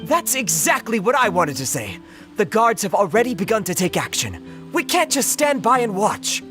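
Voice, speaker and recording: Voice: medium pitch, sing-song, moderate pace, a bit bright, faint music behind. An actor playing an eager, gossiping townsperson in a video game. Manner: eager, rallying.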